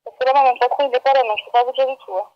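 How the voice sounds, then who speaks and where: Woman, shop employee, high voice, blunt, flustered, repeats herself, dull telephone line. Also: fairly even intonation, very fast. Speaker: bakery employee. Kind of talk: unscripted customer phone call. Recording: dull, band-limited phone line.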